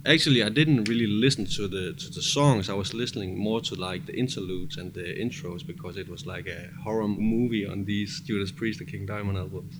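Male interview guest, Danish accent, deep register, loose conversational delivery, noisy studio. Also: a little fast, few pauses. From Denmark. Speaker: music producer guest. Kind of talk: casual chat. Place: cramped studio.

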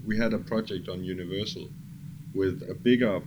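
A man's voice: low voice, steady tone, brisk. A man with a Danish accent, a music producer casually recalling his work in an interview in non-native English. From Denmark. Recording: clearly noisy background ambience.

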